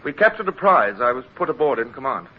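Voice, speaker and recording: Man acting young british navy midshipman, earnest, really animated, muffled noisy old radio. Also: mid-range voice, quick pace, lightly acted. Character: earnest, excitable eighteen-year-old British naval midshipman.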